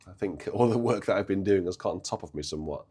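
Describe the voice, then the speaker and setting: A hoarse man, chatting haltingly on a live stream about church organs. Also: low voice, mellow. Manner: halting, chatty, many restarts and fillers. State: hoarse, coming down with a cold. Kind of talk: casual live-stream chat.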